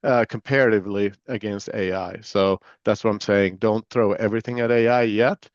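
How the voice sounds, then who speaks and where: A man from Sweden with a Swedish accent blended with American Southern tones, answering interview questions in a relaxed, filler-filled way. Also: lowish voice, normal speed, no echo, muffled recording. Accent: Swedish mixed with American Southern. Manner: relaxed, many fillers and restarts. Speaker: guest, security executive.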